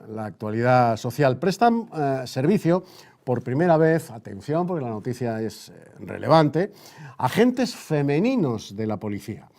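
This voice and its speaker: A man with an accent from Spain presenting a news bulletin like a 1930s radio newscast, halting and repeating phrases for emphasis. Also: mid-range voice, darkish tone.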